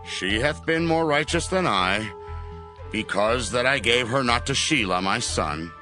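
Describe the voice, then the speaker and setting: A man's voice: mid-range voice, expressive, a little slow, bright, forceful tone, soft background music. A man voicing a stern, proud middle-aged patriarch in a dramatized scripture reading.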